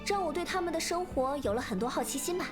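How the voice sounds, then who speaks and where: A woman playing a proud, theatrical young female former stage star in a video game, with an expressive, dramatic way of talking. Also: high voice, fast talker, music in the background. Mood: guarded, reflective. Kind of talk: Chinese video game voice acting.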